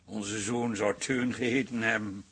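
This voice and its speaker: An actor playing an eager, sentimental middle-aged husband with a raspy voice in a radio drama. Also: male voice, fairly low pitch, steady tone, measured pace, a bit bright. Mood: wistful.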